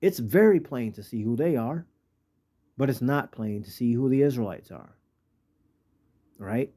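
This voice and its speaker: A man's voice: medium pitch, lively intonation, unhurried, dark tone. An American man preaching informally in a colloquial, slangy way, moving between plain talk and scripture reading. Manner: colloquial, street slang and profanity. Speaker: religious teacher.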